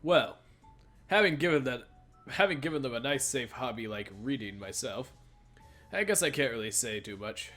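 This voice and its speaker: Halting man reading aloud over music. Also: medium pitch, animated, fairly bright tone. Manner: halting, stumbles and repeats phrases while reading. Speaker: let's play host reading a visual novel aloud. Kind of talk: casual read-aloud of game dialogue. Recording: music behind the voice.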